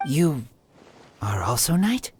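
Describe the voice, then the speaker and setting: A man's voice: a little high, sing-song, slow, background noise. An actor playing a wary, earnest teenage boy raised by wolves, speaking in broken, clipped sentences in a video game.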